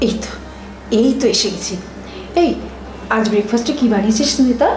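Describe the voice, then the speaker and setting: A woman playing an affectionate, scatterbrained younger sister in an audio drama, coaxing and expressive. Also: fairly high pitch, brisk, lightly acted. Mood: cheerful, playful. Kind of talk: Bengali audio drama acting. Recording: echoey room, music bed.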